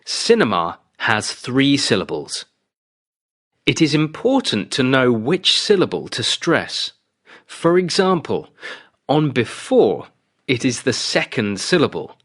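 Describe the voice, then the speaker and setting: Man with very animated intonation. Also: mid-range voice, takes it slowly. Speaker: lesson narrator. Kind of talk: scripted teaching narration.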